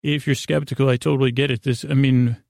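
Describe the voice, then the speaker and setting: Male bedtime podcast host, creaky gravel voice, goofy halting rambles, close dry sound. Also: mid-range voice, little pitch movement, darkish tone, no room sound. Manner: meandering, halting, goofy, self-deprecating.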